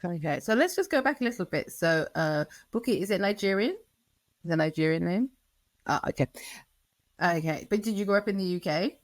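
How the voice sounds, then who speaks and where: A woman hosts a podcast conversation, circling around her questions with plenty of "sort of" and "like". Her voice is on the lower side, and it comes across close-miked and dry. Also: animated, a little fast, no echo. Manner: rambling questions, many "sort of" and "like" fillers. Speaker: podcast host. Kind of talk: casual interview questions.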